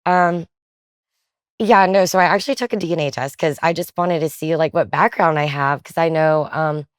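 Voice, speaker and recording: Twenties woman guest, kinda low voice, super chill and chatty, dry close sound. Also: normal speed, clean tone, dry recording. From Philippines. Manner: relaxed, chatty, laughs often, many fillers. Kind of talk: casual interview chat.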